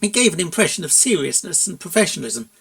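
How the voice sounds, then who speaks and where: Expressive storyteller at a medium pitch. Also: fast talker, creaky. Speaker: narrator, fan fiction reader. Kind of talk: expressive scripted story narration.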